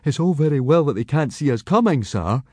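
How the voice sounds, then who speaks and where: A man's voice: a little high, very animated, a little fast, a little mellow, husky, no room sound, voice pushed up. Man acting a skinny, anxious Viking boy. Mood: logical, doubtful.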